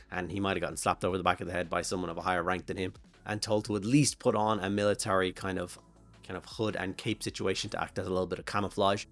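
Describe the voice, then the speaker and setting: A hoarse Irish man with a flu-worn voice talks casually through a miniature painting tutorial, rambling and thinking aloud with plenty of fillers. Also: fairly low pitch, a bit bright. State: hoarse from a flu and throat infection. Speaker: miniature painting channel host.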